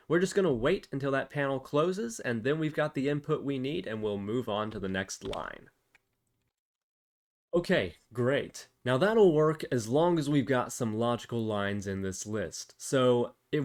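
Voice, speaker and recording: A man hosting a casual screencast programming tutorial, thinking aloud as he explains each step informally. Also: mid-range voice.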